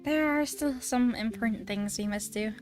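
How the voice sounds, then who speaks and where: A woman's voice: a little high, normal speed, darkish tone. A giggly, playful woman chatting casually as she streams a video game. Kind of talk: casual live gameplay chat. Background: game music.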